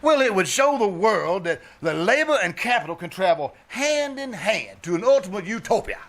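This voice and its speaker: A man's voice: high-pitched, sing-song, normal speed, a bit bright, exaggerated voice. A man playing a breezy, self-satisfied bandleader in a live re-enacted 1940s radio comedy, folksy and grandly self-important. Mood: placating. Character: breezy, self-satisfied married bandleader, a genial wisecracking peacemaker.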